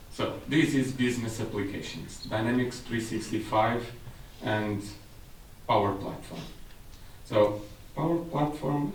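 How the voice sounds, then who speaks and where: A man's voice: fairly low pitch, a little hiss or hum. A man in his thirties with a Portuguese accent, giving a technical presentation at a seminar in a room with a large audience. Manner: explanatory, slightly non-native phrasing. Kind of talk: conference presentation. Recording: echoey room from far off.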